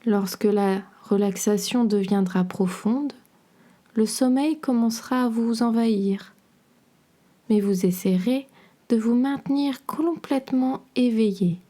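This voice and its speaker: A woman guiding a relaxation meditation in a soothing, measured, instructional way, her soft voice turning breathy at the ends of phrases. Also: fairly high pitch. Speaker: podcast host and yoga nidra guide.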